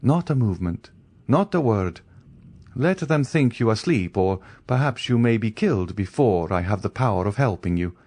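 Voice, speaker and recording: A grave storybook count, calm and fatherly, as a male reader plays him. He speaks in measured, solemn warnings, a little higher than the reader's own voice, and his pitch moves freely. The recording is close and dry. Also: dry recording.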